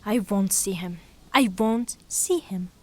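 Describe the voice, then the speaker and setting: An actress playing a proud, melodramatic grieving widow in a theatrical audio drama, speaking in a formal, haughty way. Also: female voice, medium pitch, slow. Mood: indignant, weary.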